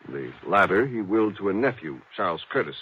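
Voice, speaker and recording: An actor playing a grumbling, fretful American insurance executive in an old radio drama, talking bluntly and conversationally. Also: male voice, low voice, animated, brisk. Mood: explanatory. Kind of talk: 1950s radio acting. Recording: narrow old radio, faint noise.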